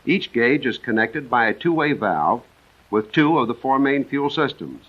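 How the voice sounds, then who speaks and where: An American man narrating a 1940s military training film in a measured, precise, instructional manner. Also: mid-range voice, lively intonation. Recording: dull vintage 1940s film soundtrack.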